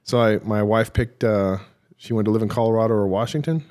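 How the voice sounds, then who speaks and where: A man's voice: fairly low pitch, a little fast, darkish tone. A middle-aged military veteran, a man, telling his career story in an interview with dry, self-deprecating humor and many hesitations.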